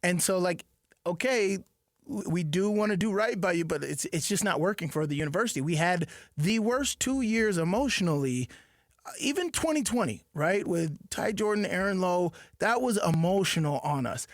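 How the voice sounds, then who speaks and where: A man who played the sport, co-hosting a sports radio show and chiming in with quick agreement and emphatic repeats. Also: high-pitched, expressive, no echo, studio quality. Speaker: former player turned radio co-host. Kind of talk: casual sports talk.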